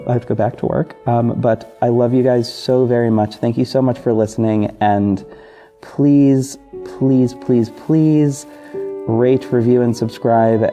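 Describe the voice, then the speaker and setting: A man's voice: mid-range voice, warm tone, dull audio. A man chatting casually to his listeners as a podcast host, with an effusive, gushing, informal manner. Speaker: podcast host and audio drama creator. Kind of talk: casual solo chat to listeners over background music. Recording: music bed behind the voice. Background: music.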